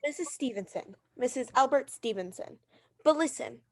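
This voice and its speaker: Radio mystery drama, with an actress as a haughty, neurotic invalid wife. Her voice is high and swings widely as she demands and insists. She is agitated and imperious throughout. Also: expressive, moderate pace, a little mellow. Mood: anxious, insistent.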